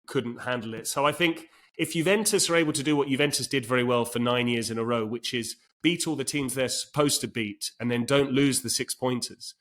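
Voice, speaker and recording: A man's voice: medium pitch, fairly quick, hardly any pauses, fairly bright tone. A man hosting a casual football podcast, thoughtful and given to restarts.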